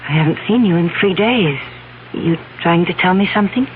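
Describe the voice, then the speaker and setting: An actress playing a devoted, anxious fiancée in a 1970s radio drama, tender and earnest in a theatrical way. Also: female voice, lowish voice, expressive, brisk, natural voice. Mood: worried, probing. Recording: narrow-band vintage radio broadcast, faint hiss.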